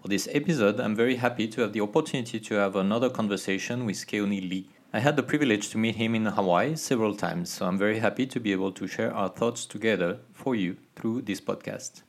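A man's voice: fairly low pitch, fast, hardly any pauses. A man hosting a podcast, opening the episode and asking questions in a formal, welcoming manner. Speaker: podcast host. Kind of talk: podcast interview.

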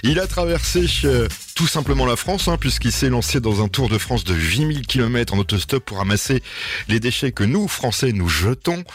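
A man's voice: mid-range voice, sing-song, normal speed. A man hosting a local radio show, upbeat and teasing as he introduces his guest and plugs the station. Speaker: radio host. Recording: radio broadcast.

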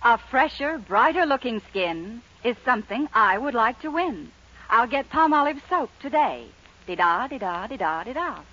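A woman's voice: a little high, lively intonation, normal speed, sharp tone. A woman performing a rhyming jingle in a 1940s radio commercial. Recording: dull 1940s radio recording.

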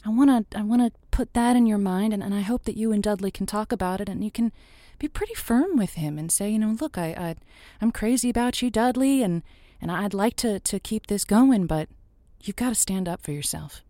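An actress playing an earnest romance advice host on a call-in radio show, reassuring and emphatic, drawing out key words. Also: female voice, medium pitch, expressive, fairly quick, dark tone, dry recording, lightly acted. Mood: earnest, encouraging. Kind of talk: semi-improvised audio comedy as radio advice show.

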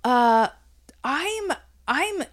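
A woman's voice: high-pitched, sing-song, drawn-out words. A woman in her fifties chatting casually in an interview, rambling and laughing, full of restarts. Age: 50s. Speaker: beauty brand founder. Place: Brooklyn.

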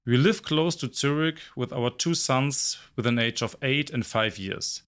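Director, man, 40s; confident, brisk sales-style delivery. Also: medium pitch, a bit bright, clean tone. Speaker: recruitment company director. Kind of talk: scripted self-introduction.

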